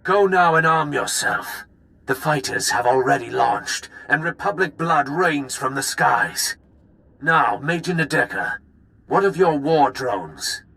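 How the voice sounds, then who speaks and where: An actor playing a menacing, grandiose Sith lord in a video game, speaking in a pompous, theatrical, poetic way. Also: male voice, fairly high pitch, expressive, slow pace, gravelly, pushed and bright, heavily acted. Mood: grandly exultant. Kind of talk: video game villain acting.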